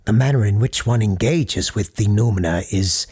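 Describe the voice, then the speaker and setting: A man playing a solemn parapsychologist who hosts a late-night call-in show in an audio drama, speaking formally and deliberately in a husky, gravelly voice. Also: lowish voice, a little mellow. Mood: firm, solemn. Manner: formal, deliberate, halting pauses. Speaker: radio call-in host. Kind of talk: scripted audio drama, deliberate radio monologue.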